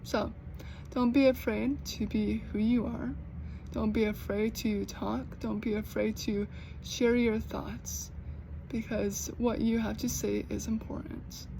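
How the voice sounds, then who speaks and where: A young American woman who stutters, with repeated sounds and blocks, talking slowly and earnestly in a personal vlog. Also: on the high side, little pitch movement, gravelly, background noise. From United States. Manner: slow, earnest, gently encouraging. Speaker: vlogger.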